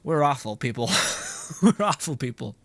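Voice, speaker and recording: A man streaming a game, reacting in a loud, laughing, profane way. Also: medium pitch, lively intonation, moderate pace, dry recording. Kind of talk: casual gameplay commentary.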